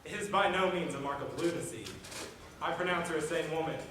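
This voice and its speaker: A man's voice: a little high, fairly quick, close to an everyday voice. Young male actor, assured formal physician, stage testimony, very echoey hall, noisy recording. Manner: formal, declamatory. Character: learned, assured male doctor giving testimony. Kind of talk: school stage play acting. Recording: distant echoey school auditorium, noisy.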